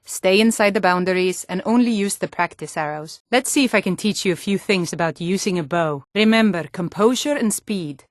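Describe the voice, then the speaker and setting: A woman's voice: medium pitch, brisk, few pauses, no room sound, studio quality, lightly acted. A woman voicing a guarded, self-reliant young archery instructor in a video game, plain-spoken and composed. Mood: calm, instructive. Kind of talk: video game character dialogue.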